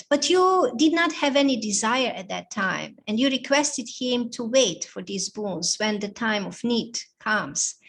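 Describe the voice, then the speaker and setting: A woman's voice: on the high side, a bit bright, exaggerated voice. A woman doing a scheming palace maid. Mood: persuasive.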